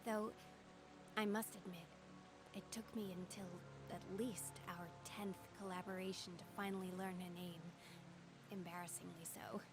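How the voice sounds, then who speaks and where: A woman voicing an earnest young female swordswoman in a game, soft-spoken and sincere. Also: mid-range voice, lightly acted. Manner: earnest and soft-spoken, proud battle cries. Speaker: game character. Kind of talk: game character voice lines.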